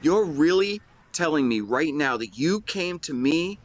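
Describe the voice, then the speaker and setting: A man playing a shy young man in love in a romantic audio roleplay, speaking in an earnest, halting, emotional way. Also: fairly high pitch, lively intonation. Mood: nervous, earnest. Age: young adult. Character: shy young man tenderly confessing love to best friend.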